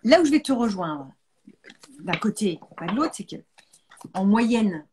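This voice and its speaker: A woman's voice: mid-range voice, sing-song, unhurried, crowd noise. A woman hosting a casual live chat, talking informally and repeating words for emphasis. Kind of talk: casual conversational interview.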